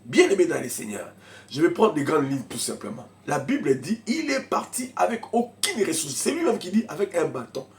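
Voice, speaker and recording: A man's voice: on the high side, big pitch swings, normal speed. Preaching man with a cold. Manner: swings from shouted preaching to quiet murmuring asides; speaks in tongues in bursts. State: has a cold, coughing. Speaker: pastor. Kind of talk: sermon.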